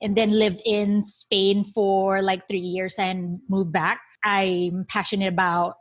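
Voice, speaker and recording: A woman's voice: mid-range voice, flat delivery, takes it slowly. A woman in her thirties from the Philippines giving a casual self-introduction on a podcast, in an easygoing way. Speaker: podcast co-host.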